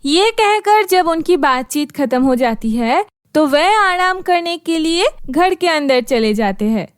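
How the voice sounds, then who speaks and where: A woman reads a children's story as narrator. Her voice is very high and she takes her time over the scripted lines. The sound is dry, with no room echo. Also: expressive, measured pace.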